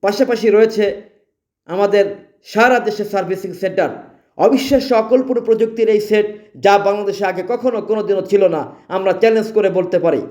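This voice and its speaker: A man with a Bangladeshi accent delivering an emphatic, repetitive promotional sales pitch as a product advertiser. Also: quick pace, darkish tone. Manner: emphatic, repetitive, listing features.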